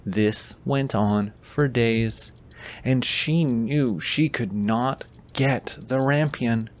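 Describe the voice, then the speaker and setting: Slow-paced playful man reading a bedtime story. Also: medium pitch. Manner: goofy, playful, teasing. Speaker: boyfriend character reading aloud. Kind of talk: bedtime story reading with casual asides, audio roleplay.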